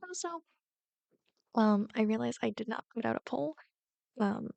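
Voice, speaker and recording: A woman's voice: a little high, animated, normal speed, creaky, a little mellow, dry recording, highs cut off. A woman content creator chatting casually to her viewers in a giggly, rambling way. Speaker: ASMR roleplay creator.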